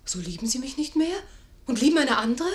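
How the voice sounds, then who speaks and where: A woman's voice: high-pitched, animated, a little fast. An actress playing a young noble lady in a 1950s radio play, joyful, playful and stubborn in love, speaking with theatrical feeling. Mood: urgent, tender. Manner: emotional, theatrical, teasing.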